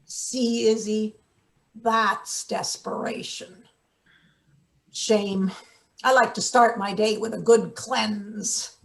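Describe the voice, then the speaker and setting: A woman's voice: mid-range voice, fairly even intonation, very slow, creaky, pauses often. A woman acting a wry, bossy, scheming maintenance worker in a theatre table read, with a dry, sardonic manner. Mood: smug, sardonic.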